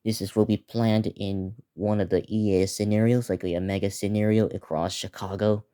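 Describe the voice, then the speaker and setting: Mellow, dark-voiced teenage boy vlogger. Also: on the low side, level tone, clear, resonant tone. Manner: halting, repetitive, listing place names. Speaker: video creator previewing upcoming videos. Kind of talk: casual unscripted vlog preview.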